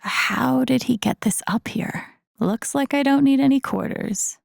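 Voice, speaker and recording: A woman's voice: high voice, measured pace, creaky, dry recording, full character voice. An actress with an American accent playing an introspective, wry young woman in a video game, murmuring dry asides to herself. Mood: musing. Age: young adult. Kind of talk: naturalistic game inner-monologue acting.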